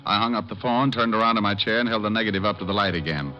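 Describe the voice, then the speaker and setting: An actor playing a sardonic American private detective in old-time radio drama, narrating and talking in a dry, flippant manner. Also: male voice, lowish voice, very fast, lightly acted. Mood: wry narration. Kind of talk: 1940s-50s radio detective narration and acting. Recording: dull old-time radio, music behind.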